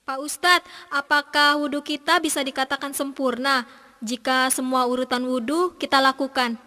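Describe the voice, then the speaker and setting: A woman's voice: sits high, flat delivery, moderate pace, bright, forceful tone, long reverb tail. A woman voicing a curious, polite young pupil in an educational cartoon. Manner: inquisitive, polite. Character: curious, eager young pupil in religion class.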